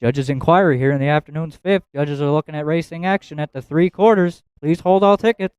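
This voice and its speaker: A man working as a track announcer, calling a live harness race with rapid, rhythmic listing of positions and times. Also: fairly high pitch, a little mellow, smooth, dry recording.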